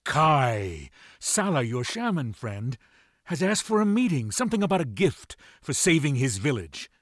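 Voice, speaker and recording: An actor playing a stern, fierce lord of a pirate clan in a video game, speaking with declamatory authority. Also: male voice, a little high, sing-song, measured pace, dry recording, clean studio sound. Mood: commanding, cautioning. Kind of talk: video game cutscene acting.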